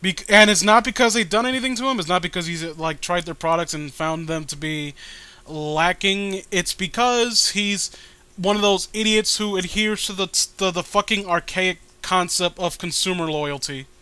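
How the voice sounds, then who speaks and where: A man's voice: high voice, steady tone, normal speed, bright, forceful tone, clear, resonant tone, no echo. A man giving casual, mocking read-along commentary in a profane, sarcastic, ranting way. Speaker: host.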